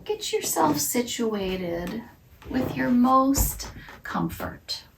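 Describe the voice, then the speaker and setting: A woman leading a guided meditation as a yoga teacher, talking softly and soothingly and sometimes sinking into a whisper. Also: fairly high pitch, sing-song, gravelly, echoey room, recorded from a distance, noisy background. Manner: slow, soft and soothing, drifting into whispers.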